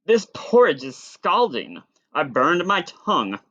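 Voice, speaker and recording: A man's voice: a little high, sing-song, a little slow, bright, forceful tone, lo-fi sound, voice pushed up, full character voice. A man voicing a big old papa bear, blustering and exclaiming, in a storybook read-aloud. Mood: outraged.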